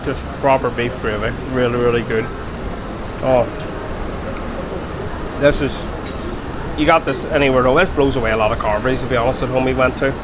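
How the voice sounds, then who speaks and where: A man's voice: mid-range voice, steady tone, brisk, pauses often, background noise. A man in his forties with a Northern Irish accent, casually reviewing drinks and food for his travel vlog on an outdoor terrace. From Northern Ireland. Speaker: travel vlogger. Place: open-air bar and restaurant terrace.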